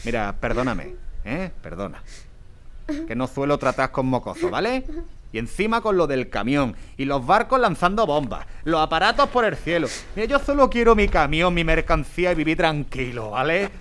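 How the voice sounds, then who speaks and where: An actor playing a gruff, grumpy but kind-hearted truck driver in an audio drama, speaking colloquially. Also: male voice, on the high side, animated, normal speed, fairly bright tone. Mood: exasperated. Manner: colloquial, cursing, grumbling.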